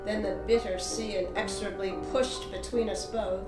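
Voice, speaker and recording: An actress plays an earnest, yearning young orphaned woman poet, reciting verse with drawn-out, deliberate phrasing in an audio drama. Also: mid-range voice, fairly even intonation, natural voice. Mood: grieving, intense. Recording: roomy, echoing, loud music underneath. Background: guitar music.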